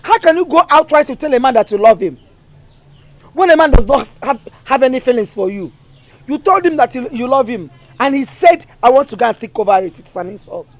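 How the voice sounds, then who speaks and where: A woman's voice: lowish voice, sing-song, moderate pace, pauses often, dark tone, slightly rough, no echo. An actress playing a worried middle-aged mother, lecturing her daughter earnestly. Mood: scolding.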